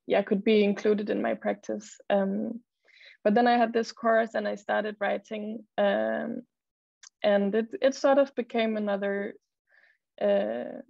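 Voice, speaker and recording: A young Danish-native woman speaks in a flat, gravelly voice, pausing often with fillers as she talks and reads her poems. The sound is dry and a little dull, like a laptop mic on a video call. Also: mid-range voice, no echo. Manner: hesitant, many fillers, reads poems slowly. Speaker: poet and model, panelist. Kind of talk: panel talk and poetry reading. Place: home room, video call.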